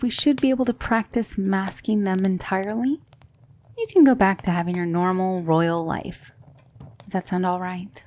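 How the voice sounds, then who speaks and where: Woman acting as gentle female demon tutor, mellow, gravelly edge, whispery, reassuring, close-miked ASMR roleplay. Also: medium pitch, a little hiss or hum, natural voice. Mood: soothing, instructive. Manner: soft-spoken, often whispery, reassuring. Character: gentle demon woman mentor, soothing and patient.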